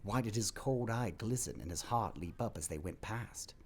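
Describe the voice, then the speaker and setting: A man narrating a classic tale for an audio play, with expressive, measured phrasing and dramatic pauses. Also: fairly low pitch, slight background noise. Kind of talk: dramatic scripted storybook narration.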